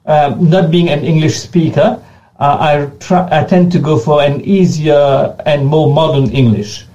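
A man's voice: on the high side, fairly even intonation, unhurried, warm tone, muffled recording. A man whose first language is Creole, answering questions in earnest and often repeating and restarting words as he goes. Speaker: pastor being interviewed. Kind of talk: unscripted interview answers.

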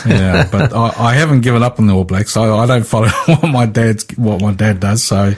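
A man's voice: lowish voice, normal speed, breathy edge. A man from New Zealand with a New Zealand accent, a guest teacher talking through a Bible study on radio in an easygoing way. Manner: easygoing, with many fillers.

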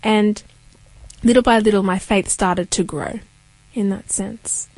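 A woman's voice: mid-range voice, steady tone, unhurried, a little mellow, no echo, poor audio. A young woman from Kenya sharing her faith story as an interview guest, earnest and reflective.

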